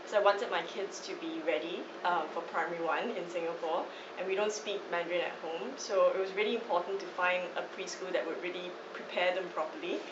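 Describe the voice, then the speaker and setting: Enthusiastic mother with a lowish voice, recorded from a distance in a roomy space. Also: steady tone, fairly quick, hardly any pauses. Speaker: parent. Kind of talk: casual testimonial. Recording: distant echoey dull recording, ambient noise.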